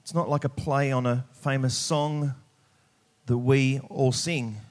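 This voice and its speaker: A man preaching a sermon, speaking emphatically and pausing deliberately on key words. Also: mid-range voice, steady tone. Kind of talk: sermon with scripture reading.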